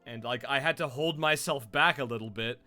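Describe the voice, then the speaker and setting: A man who is a voice actor and streamer, chatting casually and loudly on his live stream with lots of fillers. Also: medium pitch, fairly quick, soft background music. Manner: loud, chatty, rambling with fillers.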